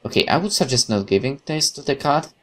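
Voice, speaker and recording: A man doing a let's play, reading game text aloud and adding chatty, expressive commentary. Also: medium pitch, fairly quick. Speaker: gaming YouTuber. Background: guitar music.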